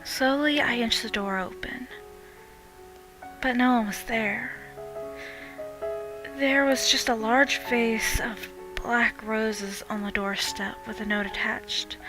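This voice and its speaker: A woman's voice: a little high, takes it slowly, pauses often. Young woman narrating horror over music. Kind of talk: dramatic first-person scripted narration.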